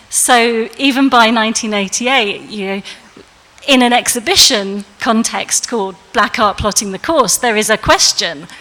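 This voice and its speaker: A woman giving a measured, lecturing academic talk on a panel in a large public hall. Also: on the high side, sharp tone. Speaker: art historian speaker. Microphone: panel microphone. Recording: reverberant hall.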